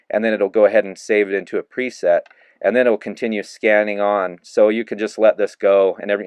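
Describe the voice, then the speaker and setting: A man giving a relaxed, casual hands-on review of a handheld radio, narrating each step with plenty of fillers as he works the controls. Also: on the low side, smooth, dry recording. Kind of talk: casual hands-on product demo.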